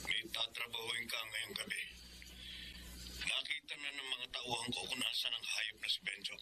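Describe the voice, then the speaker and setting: A man plays a commanding Filipino gang boss in a radio drama. He is stern and used to being obeyed. His pitch is medium, with clear noise in the background. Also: fairly even intonation, normal speed, natural voice. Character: commanding male crime syndicate boss ordering killings.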